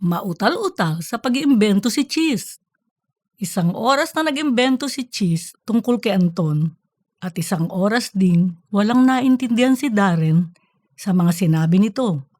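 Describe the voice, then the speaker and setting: A woman's voice: medium pitch, animated, measured pace, a little mellow, no echo. A woman reading scripted narration for a comedic fiction story. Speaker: audiobook narrator.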